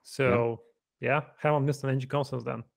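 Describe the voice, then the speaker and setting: A man's voice: medium pitch, fairly even intonation, moderate pace, vocal fry. A man in his thirties with a Bulgarian accent, answering interview questions thoughtfully over a video call from his living room. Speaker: guest software engineer. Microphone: desk microphone on video call.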